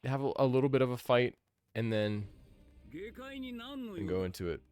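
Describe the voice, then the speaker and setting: A man's voice: mid-range voice, moderate pace, a little mellow, smooth, faint music behind. A man in his thirties giving casual, musing commentary as a game streamer, sharing a fan's opinions. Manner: musing, opinionated fan chat. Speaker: streamer, podcast host.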